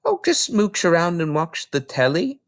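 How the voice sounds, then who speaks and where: A man voicing an earnest, high-pitched little girl. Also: wildly swooping pitch, moderate pace, dry recording, clean studio sound. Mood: breezy. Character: small bookish girl, polite, earnest and eager. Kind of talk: children's storybook reading.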